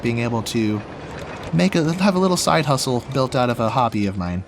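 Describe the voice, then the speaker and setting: A young man with a rough, slightly raspy voice after being sick, chatting casually and rambling as he plays a theme park building game. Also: mid-range voice, lively intonation, fairly quick, slight background noise. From Washington State. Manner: rambling, chatty, laughs often, talks to himself while building. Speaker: gaming YouTuber. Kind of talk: casual let's play commentary.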